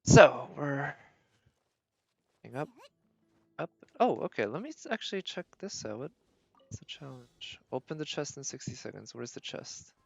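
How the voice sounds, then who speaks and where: A man's voice: mid-range voice, sing-song, normal speed, creaky, long pauses, husky, dull audio. A man doing casual live gameplay commentary as a streamer, thinking aloud as he narrates his own decisions. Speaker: game streamer.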